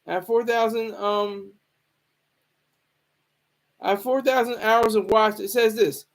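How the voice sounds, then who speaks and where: High-voiced man rambling through casual commentary. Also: fairly even intonation, creaky. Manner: rambling, halting, repeats himself. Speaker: streamer commenting on hip hop news.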